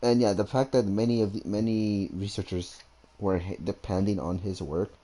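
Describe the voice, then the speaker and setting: Low, mellow male gamer. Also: steady tone, normal speed. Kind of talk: casual gameplay commentary.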